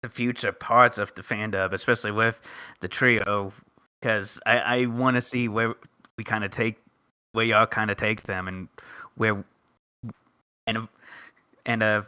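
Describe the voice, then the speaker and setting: Male panelist, lower pitch, very crisp forceful tone, halting casual talk. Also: steady tone, dry recording. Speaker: podcast panelist. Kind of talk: casual group chat about a game fandub.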